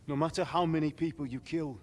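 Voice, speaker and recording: A man's voice: a little high, fairly even intonation, brisk, a little hiss or hum, lightly acted. An actor playing a concerned father who earnestly questions and counsels his grown son in a video game drama. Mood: concerned, probing. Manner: earnest, probing.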